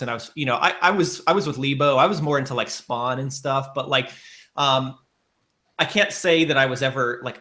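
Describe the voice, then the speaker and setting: Bright-voiced man in casual podcast chat. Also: medium pitch. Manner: rambling, many fillers and restarts.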